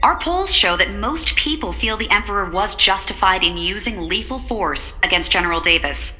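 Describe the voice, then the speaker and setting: Very bright female news anchor. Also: medium pitch, expressive, moderate pace, faint music behind. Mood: brisk, official. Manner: polished, formal. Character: crisp, poised female television news anchor. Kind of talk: scripted news report in video game.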